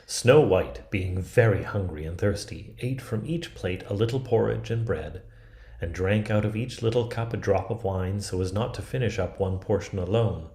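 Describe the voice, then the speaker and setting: A man in his fifties reading a storybook aloud in a measured, expressive, dramatic way. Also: lowish voice. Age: 50s. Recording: roomy, echoing sound.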